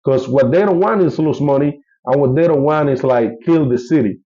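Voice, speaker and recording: A man's voice: fairly high pitch, moderate pace, dark tone, dull audio. Man with a Colombian Spanish accent, rambling. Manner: rambling, many fillers and restarts. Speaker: travel vlogger. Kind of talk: casual vlog update.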